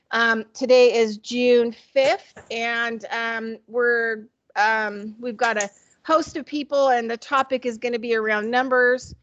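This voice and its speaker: A woman's voice: fairly high pitch, highs cut off. A woman hosting a group video call, chatting casually and rambling with many fillers. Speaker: host.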